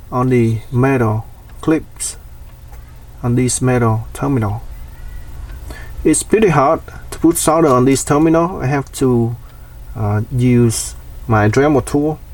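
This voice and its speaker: A man giving a casual hands-on DIY demonstration, explaining step by step in short, plain phrases. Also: mid-range voice. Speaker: DIY tutorial host. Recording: distant mic, background noise.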